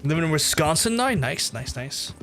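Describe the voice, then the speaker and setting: A man with a softened Belfast accent, chatting casually and rambling as he streams a game, swearing freely. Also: a little high, very animated, moderate pace, soft background music. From Belfast, Northern Ireland. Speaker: live streamer. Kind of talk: casual gameplay commentary and chat with viewers.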